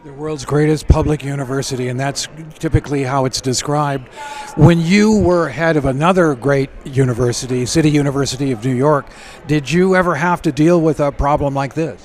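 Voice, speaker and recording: A man's voice: mid-range voice, fairly quick, darkish tone. Male radio interviewer, courteous and admiring, on location outdoors. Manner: polite, admiring questioning. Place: outdoors on a university campus.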